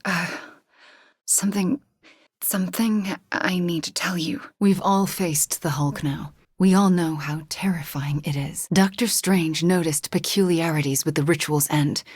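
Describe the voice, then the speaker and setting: Breathy young sorceress heroine, lowish pitch, soft, guilt-ridden, earnest, wry, measured video game dialogue. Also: female voice, no echo, clean studio sound. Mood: earnest, troubled.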